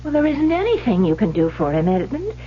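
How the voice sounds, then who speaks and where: An actress playing an anxious, affectionate wife who questions emphatically in an old-time radio drama. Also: female voice, mid-range voice, wildly swooping pitch, a little fast. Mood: reassuring, uneasy. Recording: dull, noisy old radio recording.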